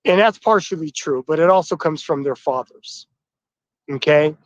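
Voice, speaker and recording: A man's voice: a little high, normal speed, no echo. Stuffy-nosed man in his fifties, lo-fi. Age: 50s. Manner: upbeat, welcoming, addressing the fathers. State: stuffy nose from flu. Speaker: host of a livestream. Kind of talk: livestream address to viewers. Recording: dull, dry, lo-fi livestream audio.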